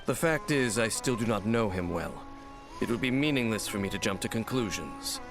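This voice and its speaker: A man playing a grave, guarded wandering warrior in an anime game, speaking in a formal, measured, solemn way. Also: fairly low pitch, animated, over music. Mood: solemn, cryptic.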